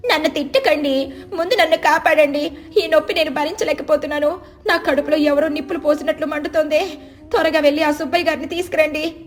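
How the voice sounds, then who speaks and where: Woman voicing a high-pitched, dramatic, greedy wife. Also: a little fast, natural voice. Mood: wailing in pain. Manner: exclamatory, complaining. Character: greedy, stubborn married woman, wailing and dramatic.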